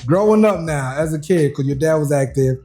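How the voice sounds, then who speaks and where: A man with a mellow, somewhat high voice hosts a casual podcast, circling his questions with fillers and restarts. Light music plays faintly underneath. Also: expressive, fairly quick. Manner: loose, rambling questions with many fillers. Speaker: podcast host.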